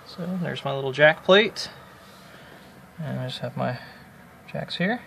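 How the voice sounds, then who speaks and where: A man's voice: medium pitch, expressive, measured pace, creaky, a bit bright, slight background noise. A man talking casually through a hands-on tutorial, unscripted and lightly self-deprecating. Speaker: DIY video maker demonstrating an amp modification.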